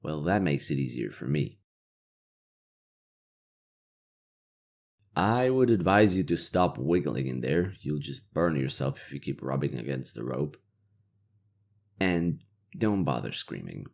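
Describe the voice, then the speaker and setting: A man playing a cynical, world-weary imperial knight interrogator with a conscience, in a roleplay audio drama, gravelly, sardonic and given to swearing. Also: a bass voice, expressive, moderate pace, full character voice. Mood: cool, controlled.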